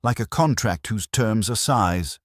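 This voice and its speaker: A man voicing a mild, reserved police inspector who is measured and drily observant, in a mystery story. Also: fairly low pitch, animated, no room sound, clean studio sound, pitched above the performer's own voice. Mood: dry, probing.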